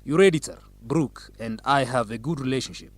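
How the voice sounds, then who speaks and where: Guy acting slick student politician in a radio drama, measured n pushy, diplomatic, very dry studio sound. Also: mid-range voice, dry recording, close to an everyday voice. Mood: smoothly insistent. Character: smooth, evasive male student politician, subtly pressuring.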